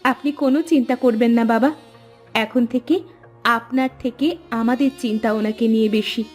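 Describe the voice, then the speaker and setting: A woman's voice: a little high, fairly even intonation, a little fast, a little mellow, close to an everyday voice. A woman playing a devoted, brave young village wife in a cartoon moral tale, speaking in an earnest, dramatic way. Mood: earnest, resolute. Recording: music under the voice.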